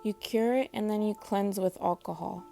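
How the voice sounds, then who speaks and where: A woman narrates a beauty tutorial in a steady, matter-of-fact way. Her voice sits at an ordinary middle pitch, and a faint music track plays beneath her voice-over. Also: moderate pace, vocal fry. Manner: calm, instructive, matter-of-fact. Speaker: nail tutorial host.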